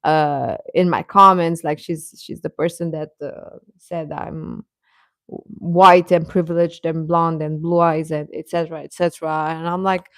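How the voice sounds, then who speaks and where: A woman chatting casually as host of a live stream, rambling and halting, with a sarcastic streak. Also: low-pitched, flat delivery, no room sound. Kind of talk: casual live-stream chat about pop fandom.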